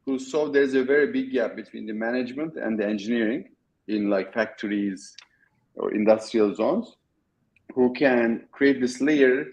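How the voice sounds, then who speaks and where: A middle-aged man with a Turkish accent, a guest in an interview, talking in a halting way with many restarts. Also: mid-range voice, a little mellow, lo-fi sound. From Turkey. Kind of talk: casual interview talk.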